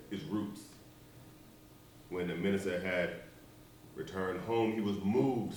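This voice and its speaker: Casting: man as a deep-voiced, measured minister, heard from afar in a big echoing hall. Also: lightly acted. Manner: slow, measured and reflective. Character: grave, measured Baptist minister, middle-aged man. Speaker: stage actor. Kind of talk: stage play performance. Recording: echoey, distant stage recording, faint hiss.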